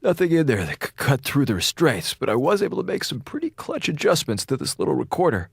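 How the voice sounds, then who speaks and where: An actor in a radio drama playing a sick, captive space station officer, sarcastic, rambling and frantic. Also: male voice, on the high side, wildly swooping pitch, fast, vocal fry, slightly rough, no echo, clean studio sound, exaggerated voice. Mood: panicky, determined. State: feverish, coughing.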